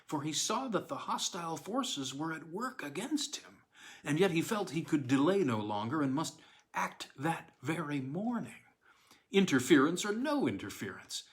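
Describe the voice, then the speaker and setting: A man's voice: a little high, sing-song. Man narrating a classic weird tale. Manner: measured, deliberate storytelling with dramatic pauses. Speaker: audiobook narrator.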